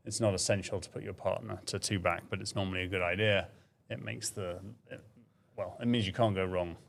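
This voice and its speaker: A man commentating on a livestream in a relaxed, chatty way, often breaking off and restarting his sentences and making light of himself. His voice sits on the low side and has a gravelly edge. A faint hiss of noise runs underneath it. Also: a little fast. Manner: chatty, self-deprecating, trails off and restarts. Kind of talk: casual sports commentary.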